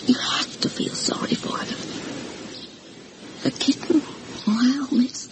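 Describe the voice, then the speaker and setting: A woman's voice: high voice, steady tone, slow. A woman playing an anxious, gossipy older housekeeper in a vintage radio horror drama, confiding and deferential as she tells her story dramatically. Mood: sorrowful, confiding. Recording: noisy vintage radio recording.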